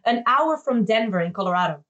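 A woman's voice: fairly high pitch, lively intonation, a little fast, fairly bright tone, highs cut off. A middle-aged woman whose first language is Dutch, chatting as an interview guest and laughing as she tells stories. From Netherlands. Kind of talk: casual interview conversation.

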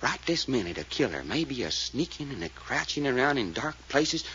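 An actor playing a folksy, excitable detective sidekick with a Southern American accent in a 1940s radio drama. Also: male voice, mid-range voice, brisk. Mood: hushed, uneasy. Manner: folksy, drawling grammar. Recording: muffled, hissy old radio recording.

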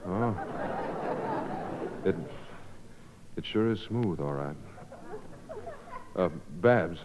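A noisy, muffled vintage radio recording has a lovestruck young student. He stammers bashfully, with lively swings in a medium-pitched voice, in 1950s comedy style. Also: drawn-out words, exaggerated voice. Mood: shyly smitten. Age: young adult. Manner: stammering, hesitant.

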